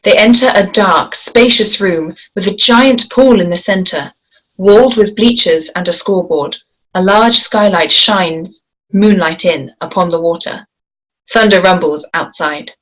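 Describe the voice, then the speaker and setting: Slightly low-voiced woman narrating a script. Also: a little slow, a bit bright, rough recording. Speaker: narrator reading stage directions. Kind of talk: scripted narration at a table read.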